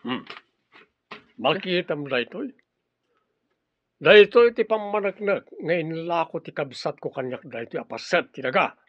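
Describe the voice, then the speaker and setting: An actor playing a ruthless, short-tempered, greedy crime boss in a radio drama, domineering and mocking. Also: male voice, a little high, lively intonation, measured pace, long pauses, dry recording. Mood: menacing, mocking. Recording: dull lo-fi audio with faint hiss.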